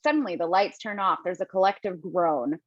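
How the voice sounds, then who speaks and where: A woman's voice: lowish voice, brisk, dry recording, highs cut off. A woman reading stage directions aloud in a table read, plainly, with casual asides.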